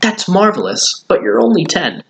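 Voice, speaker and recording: A man's voice: high-pitched, very animated, normal speed, creaky, a bit bright, pitched above the performer's own voice, full character voice. A man voicing a kindly, puzzled old grandfather in a children's storybook. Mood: surprised.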